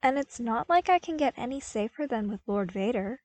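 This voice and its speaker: A woman acts out an earnest young man from an audiobook story. He is animated and emphatic, quick to get baffled or exasperated. The sound is dry, with no room on it. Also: fairly high pitch, no echo, pitched above the performer's own voice. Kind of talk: audiobook character acting.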